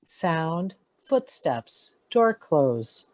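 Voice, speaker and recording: Female cue reader: low-pitched, extremely deliberate, swooping intonation, terse scripted announcements. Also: big pitch swings, darkish tone. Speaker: sound effects reader. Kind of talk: reading out scripted sound cues.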